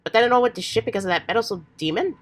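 A woman voicing an earnest, excitable, old-fashioned adventurous teenage boy with hammy, folksy slang, while getting over a cold. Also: on the high side, very fast, natural voice. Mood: puzzled. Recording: faint background noise.

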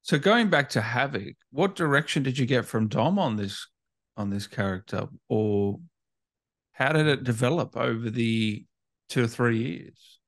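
A man with an average-pitched voice hosts a relaxed interview. He phrases things informally and a little tentatively, like someone chatting with a guest. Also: a little slow, dry recording, studio quality. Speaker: interviewer, gaming channel host. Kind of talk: casual interview chat.

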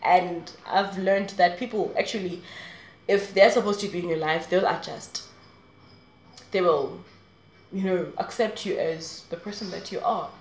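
A woman's voice: fairly low pitch, a little slow, roomy sound, poor audio. A woman in her twenties talking straight to camera in a casual, rambling advice vlog, laughing often. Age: 20s. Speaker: vlogger. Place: small room indoors.

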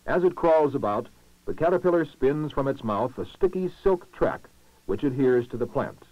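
A male narrator from a 1960s educational film, speaking in a formal, measured way with expressive rises and falls. His mid-pitched voice comes through a dull, muffled old film soundtrack with very little room sound. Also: dry recording. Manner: formal, measured, clearly enunciated delivery. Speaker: documentary narrator.

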